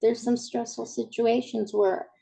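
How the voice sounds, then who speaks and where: A woman in her seventies hosting a podcast interview, chatty and quick to laugh at herself. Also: a little high, level tone, creaky, mellow. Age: 70s. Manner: chatty, laughs easily, self-deprecating. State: recovering from voice loss. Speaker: podcast host.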